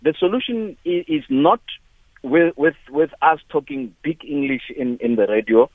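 A South African man rings in to a talk show and argues with passion, often tripping over and repeating his words. His voice sits on the high side for a man. It comes through a thin, tinny phone line with a dry sound. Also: sing-song, moderate pace, creaky, no echo. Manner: impassioned, repeats words, many restarts. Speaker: caller. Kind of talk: call-in radio comment.

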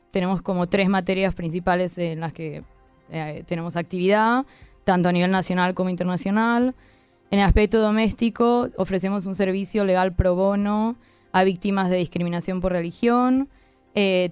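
A woman in her thirties from Argentina, with an accent mixing Argentine and Spanish, explaining her work as a guest lawyer in measured, hesitant answers. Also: lowish voice, a little mellow, smooth, no room sound. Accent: mixed Argentine and Spanish. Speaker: interview guest, human rights lawyer.

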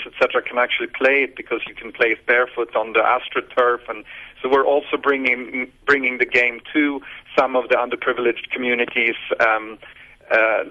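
Swedish-accented man, hesitant, muffled line. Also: mid-range voice. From Sweden. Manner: hesitant, many fillers and restarts. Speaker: guest, sports businessman. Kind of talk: radio interview answers.

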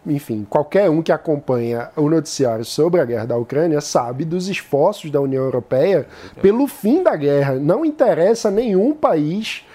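A Brazilian panelist giving measured commentary on the news. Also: medium pitch, expressive, warm tone. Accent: Brazilian Portuguese. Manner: measured, explanatory. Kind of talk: opinion commentary.

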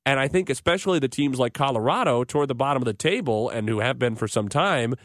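An American man hosting sports talk on the radio in a conversational, measured and analytical way, with fairly wordy explanations. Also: mid-range voice, expressive, fairly bright tone, no echo, clean studio sound. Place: dry radio studio.

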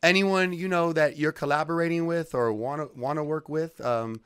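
A man's voice: a little high, normal speed, a bit bright, clean tone, dry recording. Male radio host, American accent, clean resonant voice, laid-back slangy interviewer, dry recording.

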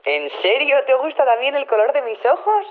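An excitable, scatterbrained woman reporting in an audio drama. Her highish voice has a Castilian Spanish accent and comes chatty and stammering down a tinny phone line. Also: moderate pace. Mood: excited, chatty. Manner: chatty, stammering, easily sidetracked. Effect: telephone filter. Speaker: on-the-scene reporter. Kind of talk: colloquial acted phone report.

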